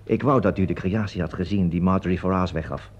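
An actor in a Dutch radio play as a wry, fussy veteran stage actor with a theatrical, drawling, sardonic manner. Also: male voice, low voice, quick pace, a little mellow. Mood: catty, sardonic. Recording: dull lo-fi radio drama recording.

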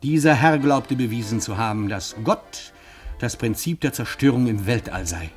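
A man's voice: mid-range voice, animated, moderate pace, soft background music, close to an everyday voice. An actor playing a wry undercover detective in his thirties who poses as an old professor, speaking in a pointed, formally polite, theatrical way in a German radio play. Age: 30s.

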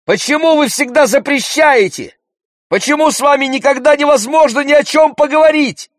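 A hot-headed young boy in an audiobook, acted out by a man. The voice leaps high and loud, indignant and insistent, with a vengeful edge. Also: moderate pace, dry recording, clean studio sound. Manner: shouting, insistent, outraged. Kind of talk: audiobook character voice.

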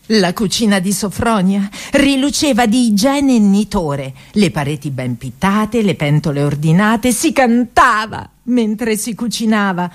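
A woman's voice: medium pitch, a little slow, hardly any pauses. A woman performing tragicomic radio theatre storytelling, dramatic and emphatic, drawing out words for effect.

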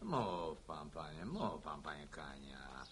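An actor playing a jaunty, sarcastic village neighbour and former soldier, with an ironic, needling drawl in a radio drama. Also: male voice, rumbling low, big pitch swings, slow pace, a little hiss or hum, full character voice.

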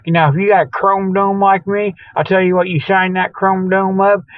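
A tired middle-aged man with a slightly high voice rambles through an improvised comic monologue. He is folksy and jokey, drifting in and out of mock sales pitches. The sound is close and dry, with a dull, lo-fi radio quality. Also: level tone, normal speed, no echo. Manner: folksy, jokey, meandering, chuckles at own jokes. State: tired, weary. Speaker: host of a comedic Bigfoot show. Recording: dull lo-fi radio-style audio.

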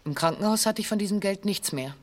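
A woman playing a former hostage giving a formal, measured witness statement in a radio documentary. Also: medium pitch, soft background music, lightly acted. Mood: matter-of-fact. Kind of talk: scripted testimony in a radio documentary.

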